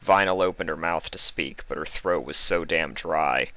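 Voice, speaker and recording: Guy with a low voice reading fanfic aloud, forward bright sound, no room echo. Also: normal speed. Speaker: fan fiction reader. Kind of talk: scripted story narration.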